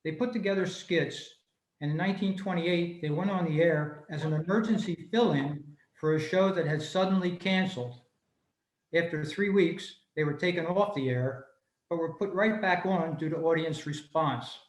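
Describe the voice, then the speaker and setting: A man's voice: fairly high pitch, moderate pace. A man reading a prepared informational talk as a presenter at an online club meeting, recorded over a video call. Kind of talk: scripted informational talk, read aloud. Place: room, remote video meeting. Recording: echoey room on a video call.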